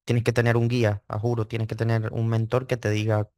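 A man's voice: lowish voice, monotone, brisk, darkish tone, dry recording, studio quality. A man, answering interview questions casually and hesitantly, repeating words. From Venezuela (Mérida). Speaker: interview guest, trading student.

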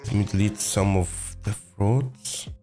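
A young man with a voice hoarse from being sick, chatting casually through a game video and getting excited over rare drops. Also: lowish voice, takes it slowly, faint music behind. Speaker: gaming video host. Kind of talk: casual gameplay commentary.

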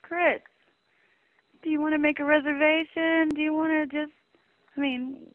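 Female hotel receptionist, high register, heard over a narrow phone line. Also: little pitch movement, normal speed, dry recording. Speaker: hotel front desk clerk. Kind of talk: customer service phone call. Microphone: telephone. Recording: dull lo-fi telephone line.

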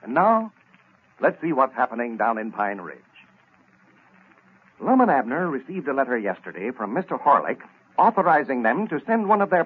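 A man's voice: mid-range voice, breathy edge. A man announcing in 1930s radio style, delivering sponsor copy with emphatic salesmanship. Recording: muffled, thin old radio recording.

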